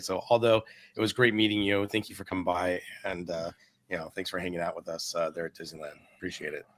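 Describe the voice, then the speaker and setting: Man, very low voice, hoarse, dry close sound, rambling casual story. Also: dry recording. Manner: relaxed, rambling with fillers. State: hoarse after a cold. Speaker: podcast guest.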